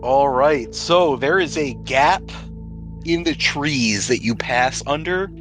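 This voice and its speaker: A man with a mid-pitched voice runs a tabletop role-playing game as game master. He narrates casually and slips in slangy jokes. Faint music plays underneath. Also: slow pace. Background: background music.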